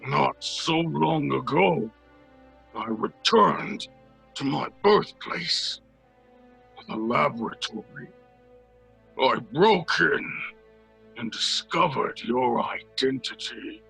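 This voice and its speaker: Menacing, lonely created monster for radio horror; harsh gravelly growl, animated swings, music bed. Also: male voice, a little high, exaggerated voice. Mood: wounded, brooding. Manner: slow, halting, fragmented phrases. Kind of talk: old-time radio horror acting. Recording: music under the voice.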